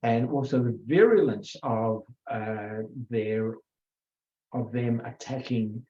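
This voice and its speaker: An older man giving an informal biology lecture, halting with many fillers and self-corrections. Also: mid-range voice, mellow. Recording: echoey room, dull lo-fi sound.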